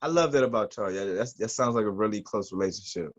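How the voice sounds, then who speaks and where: A man hosting a casual podcast chat, relaxed and easygoing in his reactions. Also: fairly low pitch, quick pace. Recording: dull lo-fi sound.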